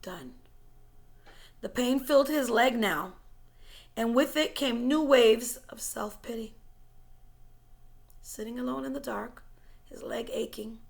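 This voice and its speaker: A woman reading a story aloud in a dramatic, suspenseful way, moving between hushed whispers and forceful, louder phrases. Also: on the high side, slow, vocal fry, long pauses. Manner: suspenseful and expressive, dropping to whispers and rising to loud, forceful phrases. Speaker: teacher reading a book chapter aloud.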